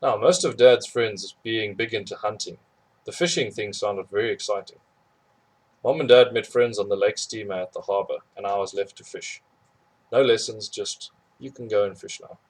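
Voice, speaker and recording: A man reading a memoir aloud in a plain, steady storytelling manner. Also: on the low side, normal speed, poor audio. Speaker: audiobook reader.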